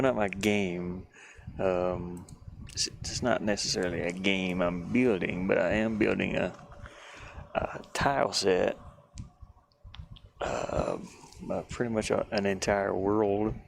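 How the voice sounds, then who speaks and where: A man chatting casually on a livestream while he works, in a halting, rambling, easygoing manner. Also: low-pitched. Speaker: livestreamer, hobby game artist. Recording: clear background noise, live stream.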